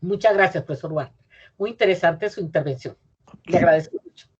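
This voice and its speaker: A middle-aged Colombian woman, a university professor, giving an academic lecture over a video call, measured and explanatory, with frequent pauses and self-corrections. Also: fairly low pitch, a little mellow, husky. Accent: Colombian Spanish. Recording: muffled lo-fi video call audio.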